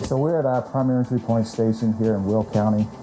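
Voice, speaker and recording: An American man speaking as an emergency management official, explaining things in formal, measured phrases with small pauses. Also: medium pitch, fairly even intonation, warm tone, rough recording. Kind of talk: explanatory on-camera statement in an official video. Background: clear background music.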